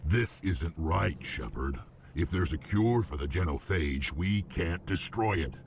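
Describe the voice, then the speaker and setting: An actor playing a gruff, proud, embittered male alien warrior in a video game, blunt and forceful, with a deep gravelly voice. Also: expressive, unhurried, noisy background. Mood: angry, frustrated.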